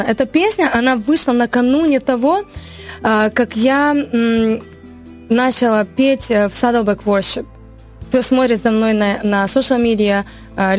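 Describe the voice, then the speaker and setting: Woman, from Ukraine, worship singer, earnest, restarts often, casual interview, faint music underneath. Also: fairly high pitch. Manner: animated, earnest storytelling with many restarts.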